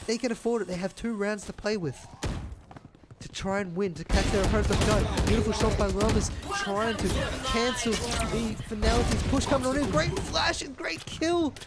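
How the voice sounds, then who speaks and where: A man's voice: sits high, moderate pace, loud music behind the voice. A man doing live play-by-play esports commentary, earnest and appreciative, his voice a little strained and tiring. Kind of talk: live game commentary and sign-off.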